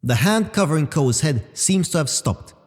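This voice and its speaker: An actor playing a calm, analytical robot companion in an audio drama, speaking formally and explaining in measured detail. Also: male voice, on the high side. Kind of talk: scripted audio drama acting. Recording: long reverberant hall.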